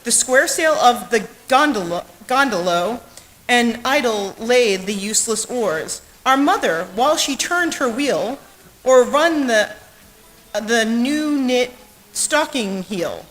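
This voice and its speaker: A woman's voice: on the high side, lively intonation, slow pace, a bit bright. A woman speaking live into a podium microphone during a sound check, on a hissy live stream. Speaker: bema team member testing microphones. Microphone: podium microphones, sometimes speaking off mic. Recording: hissy live stream, sometimes distant from mic.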